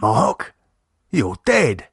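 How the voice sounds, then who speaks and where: A man's voice: medium pitch, huge pitch swings, drawn-out words, gravelly, slightly rough, exaggerated voice. A man voicing a defiant, swearing cockney thug with a Cockney accent. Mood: angry. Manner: aggressive, swearing.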